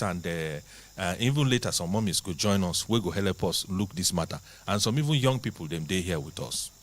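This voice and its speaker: Brief: Nigerian male TV host, lowish voice, very lively pitch, chatty studio presenter. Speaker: talk show host. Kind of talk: studio talk show hosting.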